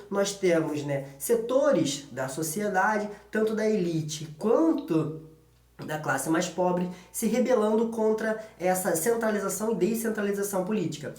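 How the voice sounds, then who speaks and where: A man's voice: high-pitched, darkish tone. A man with a Brazilian accent giving an informal history exam-prep lesson as a teacher, colloquial and often checking in with short tag questions. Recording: echoey room.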